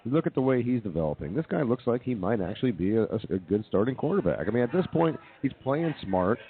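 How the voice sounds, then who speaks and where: A man's voice: mid-range voice, big pitch swings, fast. A man hosting a radio show, talking casually about sports and joking with co-hosts. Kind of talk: casual sports and comedy banter. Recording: tinny, narrow-band phone-like audio.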